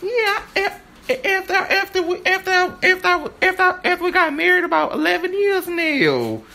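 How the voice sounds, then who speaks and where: A woman performing an improvised stage-play monologue, halting and stammering as she repeats her phrases. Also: extremely high pitch, sing-song, vocal fry, bright, forceful tone, slight background noise.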